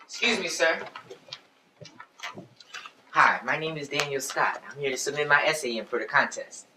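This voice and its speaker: A man's voice: on the high side, moderate pace, natural voice. An actor in a stage play playing a polite, guarded, apologetic young man who speaks hesitantly. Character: polite, guarded youth entering an essay contest. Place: theatre stage. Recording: distant, echoey, noisy stage recording.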